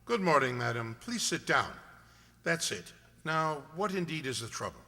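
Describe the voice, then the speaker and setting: A man playing a composed, analytical gentleman detective, measured and polite, in an amateur radio drama. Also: fairly high pitch, big pitch swings. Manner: measured, polite, dryly authoritative. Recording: long hall-like reverb.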